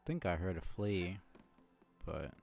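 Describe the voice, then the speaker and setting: A man's voice: deep voice, very animated, slow, gravelly, a little mellow, soft background music. A man doing casual live commentary as he plays a video game, musing and rambling as he thinks aloud. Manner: musing, rambling, mild swearing.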